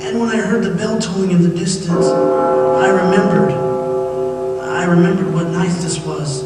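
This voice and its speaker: A male actor plays a condemned man in his death cell, desperate and haunted, with a very high voice. His delivery is dramatic old-time radio theatre. It sounds far off in a deeply reverberant hall. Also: steady tone, moderate pace, natural voice. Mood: haunted narration. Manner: pleading, dramatic. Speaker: actor and narrator in a radio play. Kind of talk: old-time radio theatre acting, first-person narration. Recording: distant echoey hall, long reverb, faint music.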